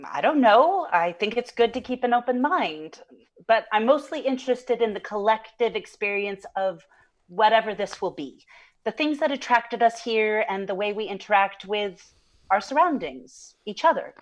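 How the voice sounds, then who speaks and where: An actress playing a reserved, thoughtful doctor who speaks in a measured, noncommittal way in an audio drama. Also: female voice, medium pitch, animated, natural voice. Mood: guarded, thoughtful.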